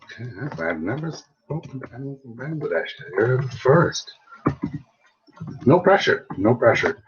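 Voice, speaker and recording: A man's voice: mid-range voice, big pitch swings, measured pace, noisy background. A man tutoring English on a video call, patiently asking simple questions. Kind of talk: online English lesson conversation. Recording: dull lo-fi video call audio.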